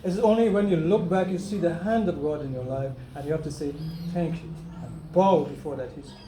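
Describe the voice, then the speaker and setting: A man's voice: fairly high pitch, fairly quick. An older Trinidadian man giving an earnest, preachy speech to relatives at a family gathering, repeating phrases for emphasis. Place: home gathering after a funeral service. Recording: echoey room with loud background noise.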